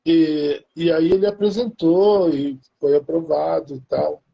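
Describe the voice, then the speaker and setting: A man's voice: a little high, sing-song, unhurried, gravelly, dull audio. A Brazilian man with a Brazilian Portuguese accent, chatting casually in a rambling way with many restarts. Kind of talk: casual chat.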